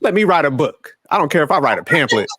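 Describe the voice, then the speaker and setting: A man's voice: a little high, sing-song, fast talker, background noise. A man co-hosting a podcast, talking loosely and joking with slang, often repeating himself as he goes. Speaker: podcast co-host. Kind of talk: casual podcast chat.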